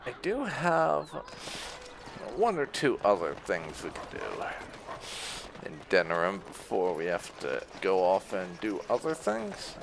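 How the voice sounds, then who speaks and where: Deep, lively male gaming commentator with some fry. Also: measured pace, noisy background. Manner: rambling, thinking aloud. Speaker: gaming video host. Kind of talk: casual playthrough commentary.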